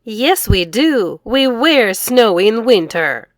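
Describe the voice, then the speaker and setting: A middle-aged woman reading aloud to children as an English teacher, enunciating each phrase clearly. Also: on the high side, very animated, slow, dry recording. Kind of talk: children's read-aloud lesson.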